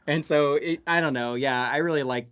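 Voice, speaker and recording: A man's voice: on the high side, moderate pace, fairly bright tone, smooth. An American man, a writer guesting on a podcast, chatting and recollecting in a loose, informal way. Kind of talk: casual chat, recollecting.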